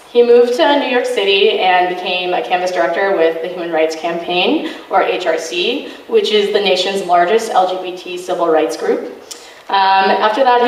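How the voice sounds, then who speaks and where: Brief: loud, earnest woman activist speaking to students, fillers everywhere, heard from afar in a roomy hall. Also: mid-range voice. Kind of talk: informal talk to a student group. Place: college meeting room. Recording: echoey room from a distance.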